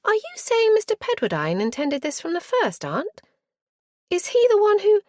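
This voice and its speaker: A woman voicing an eager young Regency lady who asks her questions in a high, breathless rush. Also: huge pitch swings, normal speed, a little mellow, no room sound, studio quality, full character voice.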